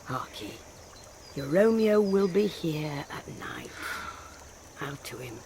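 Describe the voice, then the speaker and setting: An actress playing an old, blunt and loyal grieving nurse in a Shakespearean radio drama. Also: female voice, sits low, sing-song, slow, darkish tone, a little hiss or hum, exaggerated voice. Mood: soothing, practical.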